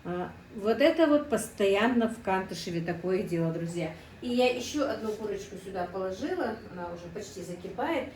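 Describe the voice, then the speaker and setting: A woman's voice: mid-range voice, expressive, fairly quick, few pauses, a little mellow, reverberant, recorded from a distance, a little hiss or hum, low-quality recording. Chatty middle-aged woman. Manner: chatty, keeps calling the viewers friends. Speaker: cooking vlogger. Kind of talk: casual cooking vlog. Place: home kitchen.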